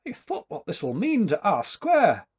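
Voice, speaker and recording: Storybook Scottish tailor, acted by a male reader: dour yet excitable, raised pitch, exclamatory delivery. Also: unhurried, slightly rough, full character voice. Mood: earnest. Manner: emphatic, exclamatory.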